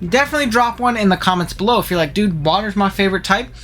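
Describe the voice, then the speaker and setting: Fast-talking sniffly man with a cold. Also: high-pitched, a bit bright, soft background music. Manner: fast, chatty, enthusiastic gamer talk with fillers. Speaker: channel host. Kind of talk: casual analysis commentary.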